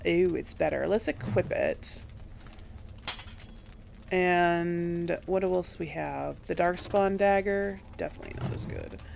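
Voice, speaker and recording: A woman hosts a casual gaming playthrough, thinking aloud as she goes. Her voice is slightly low with a lot of fry, and she talks slowly. There is faint noise and game ambience behind her. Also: steady tone, a little mellow. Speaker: gaming video host. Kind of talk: casual let's play commentary.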